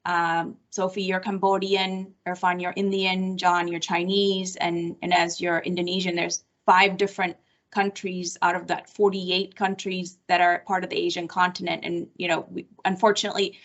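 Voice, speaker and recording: A woman's voice: on the low side, flat delivery, moderate pace, a bit bright, dull audio. A woman of Sri Lankan background moderating a roundtable, affirming each guest and calling on the next. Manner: affirming, introduces speakers.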